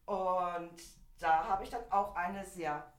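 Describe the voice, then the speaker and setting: A middle-aged woman, slightly hoarse from a cold, chatting in an opinionated way as a podcast co-host. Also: lowish voice, steady tone, takes it slowly, echoey room. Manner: chatty, opinionated, laughs easily. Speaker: podcast co-host presenting a topic. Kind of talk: casual opinion chat.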